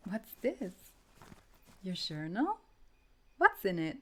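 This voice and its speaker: A woman acting a tender, wistful girlfriend in an intimate romantic audio roleplay, speaking softly and breathily, often dropping toward a whisper. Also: mid-range voice, huge pitch swings, slow, exaggerated voice. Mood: concerned, affectionate. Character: tender, wistful time-traveling girlfriend speaking to her beloved.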